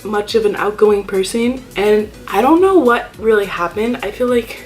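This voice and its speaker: A woman's voice: medium pitch, expressive, normal speed, faint music behind. A young woman YouTuber chatting casually in a vlog, rambling and thinking aloud about herself. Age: young adult. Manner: rambling and self-reflective.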